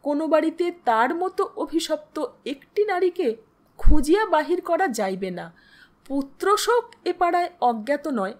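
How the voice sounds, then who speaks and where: High-voiced woman narrating a solemn story. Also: expressive, normal speed. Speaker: storyteller reading literary fiction. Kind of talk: dramatic audiobook narration.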